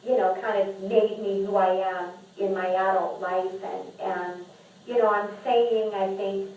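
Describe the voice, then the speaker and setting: A woman's voice: mid-range voice, steady tone, measured pace, darkish tone. A woman speaking as a podcast guest and memoir author, talking candidly and earnestly, often restarting and repeating words. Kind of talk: candid conversational interview. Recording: echoey room, distant mic.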